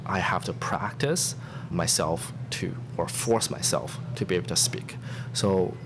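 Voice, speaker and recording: Low-voiced man, earnest and reflective. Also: a little slow. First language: not English. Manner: earnest, reflective, with frequent restarts. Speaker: podcast guest, staff software engineer. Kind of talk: interview answers. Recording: steady background noise.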